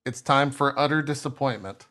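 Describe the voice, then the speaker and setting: A man's voice: mid-range voice, normal speed, pushed and bright. A young man co-hosting a podcast over a video call, joking and mocking himself with lots of restarts. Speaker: podcast co-host. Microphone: headphones with mic on video call.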